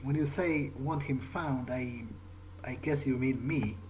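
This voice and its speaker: A male let's player speaks in character over a game. He muses aloud hesitantly, as if sharing his private thoughts. Game ambience is clearly audible behind him. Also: medium pitch, measured pace, a little mellow, slight background noise, low-quality recording. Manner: hesitant, musing, thinking aloud. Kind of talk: in-character roleplay gameplay commentary.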